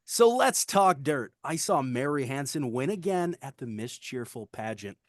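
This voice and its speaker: A man's voice: mid-range voice, animated, moderate pace, no echo, clean studio sound, close to an everyday voice. A man playing a brash, middle-aged radio host and announcer at a script table read, with showy, hammy delivery. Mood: brash, gossipy.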